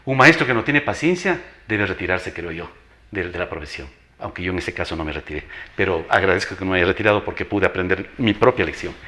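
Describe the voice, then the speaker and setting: A man's voice: sits low, expressive, fast talker, bright, forceful tone. An older man with an Ecuadorian accent and a strong, announcer-like voice, telling anecdotes as an interview guest, emphatically, repeating phrases and acting out dialogue. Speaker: interview guest, veteran teacher.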